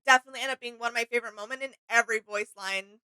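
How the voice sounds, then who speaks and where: High, bright-voiced woman chatting casually. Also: rapid-fire, clear, resonant tone, no echo. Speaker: reaction video co-host. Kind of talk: casual chat, reaction commentary.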